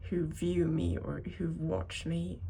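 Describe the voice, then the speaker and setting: A thirty-something woman talks to her viewers in an unscripted vlog. Her voice sits a little low and is full of vocal fry. She rambles hesitantly, correcting herself as she goes, over faint background noise. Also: steady tone, slow pace, mellow. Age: 30s. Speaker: video creator talking to viewers. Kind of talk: unscripted personal vlog monologue.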